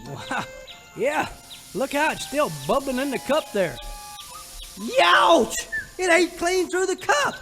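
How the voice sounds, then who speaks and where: An excitable cowboy pal in a radio western spoof. His high, very animated voice is folksy and exclamatory, with music behind it. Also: takes it slowly, long pauses, full character voice. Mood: amazed. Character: excitable, gee-whiz male cowboy sidekick. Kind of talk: comic old-time radio western acting.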